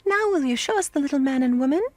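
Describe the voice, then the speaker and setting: Woman doing pleading little kids for a storybook, high, eager and desperate. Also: animated, fast talker, no room sound.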